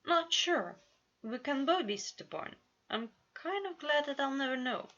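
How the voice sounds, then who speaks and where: A dramatic young storybook prince, dreamy and lovestruck, acted out by a woman with a bright, lifted voice. He speaks theatrically, with sighs. The sound is a slightly dull home recording. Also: sits high, lively intonation, unhurried, pitched above the performer's own voice, full character voice. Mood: tender, thoughtful. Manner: theatrical, sighing.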